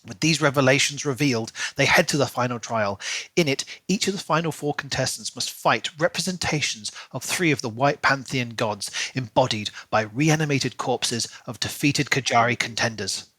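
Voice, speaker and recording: A man with a medium-pitched, bright voice co-hosts a book podcast. He talks listeners through the story, and the sound is very dry, with hardly any room on it. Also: normal speed, no echo. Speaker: podcast co-host. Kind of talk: casual book chat and reading a written plot recap.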